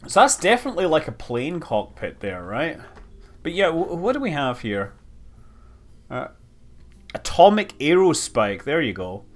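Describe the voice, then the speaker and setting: A man's voice: on the high side, big pitch swings, a little slow, long pauses. A man giving casual live stream commentary as he plays, rambling, thinking aloud and exclaiming over details. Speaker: streamer playing a space building game.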